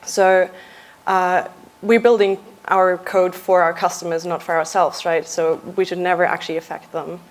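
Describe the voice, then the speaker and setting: A young adult woman from Austria, a software engineer giving a conference talk in a casual, lightly joking way with frequent uhs. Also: on the low side, flat delivery, moderate pace. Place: conference stage.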